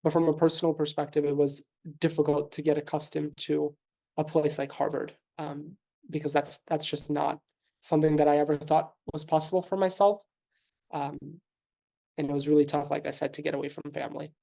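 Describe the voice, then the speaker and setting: Man, 30s, New York–raised, Russian first language; physician guest; gravelly, mellow, flat delivery; measured, filler-heavy. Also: fairly high pitch. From New York City. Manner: thoughtful, measured, frequent fillers and restarts. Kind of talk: conversational interview answers.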